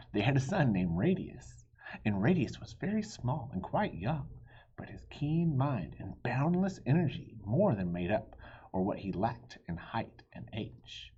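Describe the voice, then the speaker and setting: Male storybook reader and teacher: soft mellow tone, very breathy, animated delivery. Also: mid-range voice, muffled recording. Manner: animated, pauses to quiz listeners. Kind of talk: expressive read-aloud for children.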